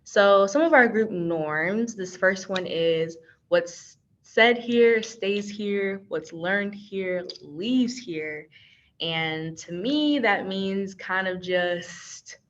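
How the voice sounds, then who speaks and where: A woman's voice: medium pitch, extremely slow, a bit bright. An American woman from Texas leading an online training in a casual, chatty, playful and informal way over a video call. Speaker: workshop trainer and organization's communications coordinator. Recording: dull, lo-fi video call audio.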